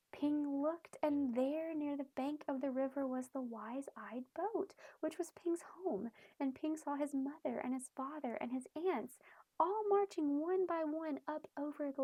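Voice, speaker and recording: A woman reading a storybook aloud expressively for young children, pausing to explain things in simple terms. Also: high voice, warm tone, dry recording. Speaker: children's read-aloud host.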